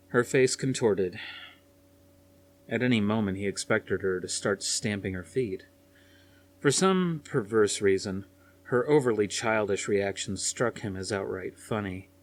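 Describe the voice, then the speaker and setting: A man reading a dramatic story aloud as scripted audiobook narration. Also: on the low side. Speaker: audiobook reader.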